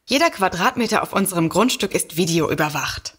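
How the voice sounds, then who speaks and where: Polite, dry, sceptical writer. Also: female voice, fairly low pitch, lively intonation, brisk, a bit bright, slightly rough. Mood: polite, uneasy. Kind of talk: audio drama dialogue.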